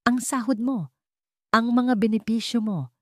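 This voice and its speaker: A woman with a Filipino accent playing a repentant, gentle rich father in an audio story. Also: fairly high pitch, measured pace, no room sound, studio quality, pitched above the performer's own voice, close to an everyday voice. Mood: tentative, sincere.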